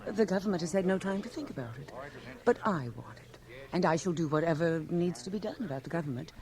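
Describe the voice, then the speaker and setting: An actress playing an imperious, self-possessed society widow in a radio drama, commanding, clipped and condescending. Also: female voice, fairly low pitch, expressive, quick pace, darkish tone. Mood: imperious, impatient.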